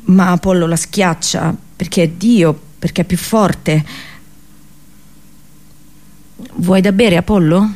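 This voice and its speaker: A woman's voice: lowish voice, steady tone, slow pace, pauses often, a little mellow. A woman narrating theatrically, in a wry, conversational way. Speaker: narrator, actress.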